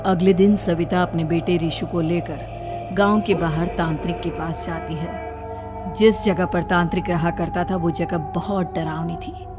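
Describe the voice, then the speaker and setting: A woman's voice: on the low side, fairly even intonation, measured pace. A woman reading scripted horror story narration as the storyteller. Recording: music playing behind narration.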